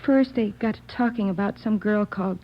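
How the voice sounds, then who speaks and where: A woman's voice: medium pitch, fairly quick, natural voice. An American woman playing a guarded, earnest young office worker under police questioning in a 1950s radio drama, polite and plain-spoken. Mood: anxious, confiding. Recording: dull, muffled old radio recording.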